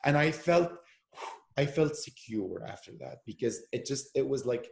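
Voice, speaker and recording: Man, gravelly voice, relaxed interview talk, frequent fillers and restarts, echoey room. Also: medium pitch, normal speed. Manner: animated storyteller with many fillers and restarts. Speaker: actor and podcast guest. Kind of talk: casual interview conversation.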